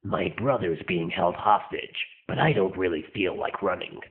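A man voicing a cold, arrogant, bored rich big brother with a callous deadpan delivery in an anime parody. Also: low voice, normal speed, faint music behind, lightly acted. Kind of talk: scripted anime parody dub. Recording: muffled phone-like lo-fi audio.

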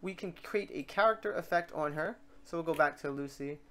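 Software tutorial host, mid-range voice, informal, rambling, thinking out loud, screen-recording voice-over. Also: mid-range voice, brisk. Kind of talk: casual software tutorial narration.

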